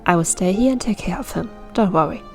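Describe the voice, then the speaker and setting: A stoic male guardian spirit from a fantasy story, read by a woman. He is terse and protective, speaking plainly at an ordinary feminine pitch over faint music. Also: animated, quick pace, close to an everyday voice. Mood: curt, reassuring. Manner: terse, matter-of-fact.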